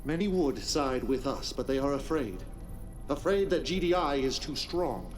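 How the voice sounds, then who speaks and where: A man acting as a zealous male prophet from a game cutscene, grand and commanding. He delivers measured, theatrical lines like a militant leader addressing the faithful, with loud music behind him. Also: mid-range voice, lively intonation, close to an everyday voice. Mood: solemn, persuasive.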